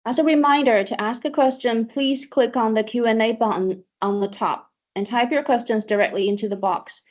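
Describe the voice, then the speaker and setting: A woman's voice: fairly high pitch, fairly even intonation, normal speed. A woman moderating an online earnings call, formally giving instructions and reading out questions. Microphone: online conference call. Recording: dull lo-fi online call audio.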